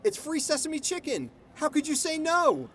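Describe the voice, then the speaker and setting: A man's voice: extremely high pitch, moderate pace, creaky, over loud music. A man playing a cocky, wisecracking monster hunter in a radio drama, wry and quippy. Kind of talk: fan radio drama acting.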